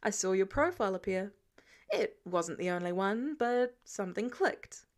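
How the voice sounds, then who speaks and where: A woman's voice: mid-range voice, lively intonation, moderate pace, natural voice. A woman voicing a sardonic older male inventor who teases his young companion in an audiobook story. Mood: explaining, wry. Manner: dry, exasperated, teasing.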